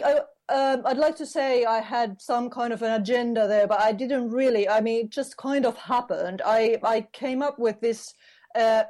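A woman author, answering an interviewer's questions. She speaks thoughtfully and hesitantly, pausing often and restarting her sentences while she searches for the right words. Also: medium pitch, a little mellow. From Finland. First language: Finnish. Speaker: author guest.

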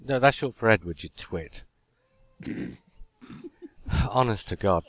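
A man from Yorkshire, hosting a podcast with casual banter, drawn-out anecdotes and mock exasperation. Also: on the low side, fairly bright tone, no echo. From Yorkshire, England. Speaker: podcast host.

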